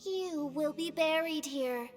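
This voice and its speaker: A woman's voice: high voice, slow. A woman voicing a haughty young princess in an anime game, with grandiose, theatrical, archaic diction. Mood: gloating menace. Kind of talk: anime video game voice acting. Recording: long reverb, music behind.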